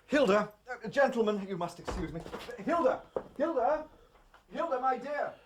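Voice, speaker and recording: A spluttering victorian minister, formal and pompous, lively swooping voice, bit of background noise. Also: male voice, very high voice, normal speed. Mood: amazed, excited. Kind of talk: period drama acting.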